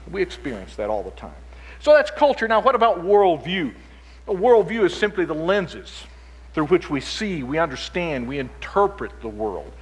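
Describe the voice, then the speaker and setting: A man raised in Chile speaks from a conference stage with a fairly high, husky voice. He lectures steadily but often doubles back on his words. A long reverb from the hall trails behind him. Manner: stammers and repeats words as he restarts. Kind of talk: lecture-style conference talk.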